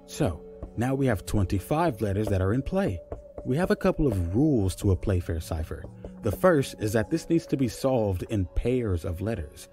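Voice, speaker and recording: A man's voice: fairly low pitch, very animated, normal speed, warm tone, soft background music. An actor playing a patient, puzzle-savvy man who explains step by step in naturalistic audio drama dialogue. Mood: explaining.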